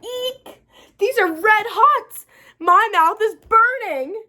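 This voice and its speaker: Woman voicing an excitable, high-pitched little storybook girl. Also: slow pace, exaggerated voice. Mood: disgusted. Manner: emphatic, dramatic, whiny when let down.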